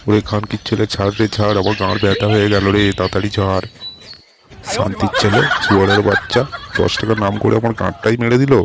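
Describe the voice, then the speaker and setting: A man's voice: lowish voice, level tone, fast talker, vocal fry. A man voicing a whining village beggar in a comic cartoon dub, with a repetitive, pleading manner. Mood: outraged. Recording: noisy track with birdsong.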